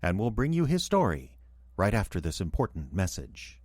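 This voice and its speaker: A man's voice: deep voice, wildly swooping pitch, moderate pace, darkish tone, breathy edge, dry recording, studio quality. A man announcing a radio drama in a scripted, old-time style, formal and theatrical.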